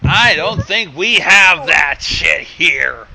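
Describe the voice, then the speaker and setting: Story commentator, very bright forceful tone, slow drawn-out delivery, casual, sweary, exasperated. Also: mid-range voice, lively intonation, vocal fry. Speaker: guest co-reader commenting on the story. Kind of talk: casual, joking reaction commentary.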